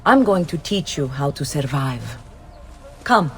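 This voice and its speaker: Poised, worldly madam. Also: female voice, low-pitched, very animated, exaggerated voice. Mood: cool, instructive. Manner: composed, courteous, deliberate. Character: poised, worldly, gracious Italian madam, quietly dangerous mentor. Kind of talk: dramatic video game cutscene acting.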